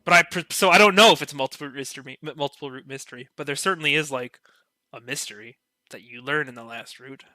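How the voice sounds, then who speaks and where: A man's voice: a little high, fast, pushed and bright, dry recording. A man in a casual podcast discussion, rambling and enthusiastic, leaning heavily on filler words. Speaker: podcast panelist.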